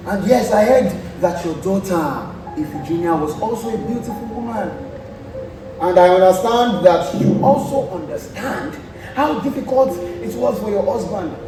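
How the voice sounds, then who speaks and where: A man's voice: high voice, moderate pace, music in the background, lightly acted. A Nigerian man acting a boastful, teasing lord in a stage play, with exclamatory delivery. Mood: flattering, condescending. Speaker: student stage actor. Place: large indoor theatre stage. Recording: very echoey theatre, distant, clear noise.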